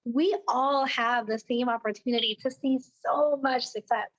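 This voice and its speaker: A woman with a high voice that is giving out and turning squeaky, heard over dull, lo-fi call audio. She speaks as a guest in a peppy, cheerleading style, informal and motivational. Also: fairly even intonation, moderate pace. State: losing her voice, squeaky. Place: online team call.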